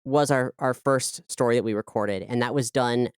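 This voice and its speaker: A woman with a low voice explaining creative work as an interview guest, in a conversational style. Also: moderate pace, clear, resonant tone, no echo, studio quality. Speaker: audio drama creator and director, interview guest.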